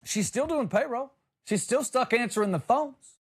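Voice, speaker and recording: Animated, high-pitched man on a comic rant. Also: normal speed, breathy edge. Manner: exasperated, emphatic, sarcastic. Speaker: comedy podcast host. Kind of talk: comedic monologue rant.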